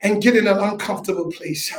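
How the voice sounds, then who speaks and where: A woman preacher leading fervent Pentecostal-style worship, crying out in drawn-out phrases with rhythmic punctuating grunts. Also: mid-range voice, a crowd in the background. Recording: echoey room.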